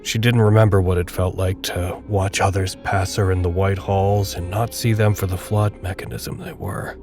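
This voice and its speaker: A man narrating a dark audio drama in a measured, literary, grave and detached manner. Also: low-pitched, little pitch movement, music in the background, natural voice. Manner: measured, literary, solemn storytelling.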